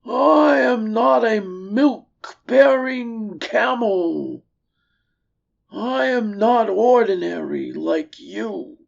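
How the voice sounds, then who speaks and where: A woman's voice: a little high, lively intonation, gravelly, highs cut off, voice pushed up, heavily acted. A woman voicing a proud, grumbling old male camel with aching joints, in a grandiose, complaining manner. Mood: haughty, indignant. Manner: grandiose, complaining, drawn-out delivery.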